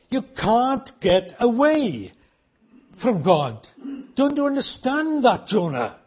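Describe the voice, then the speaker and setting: A man delivers a sermon in a husky, fairly high voice, pressing his listeners with rhetorical questions. He slows right down on the key phrases to drive them home. The recording sounds dull and lo-fi. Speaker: preacher.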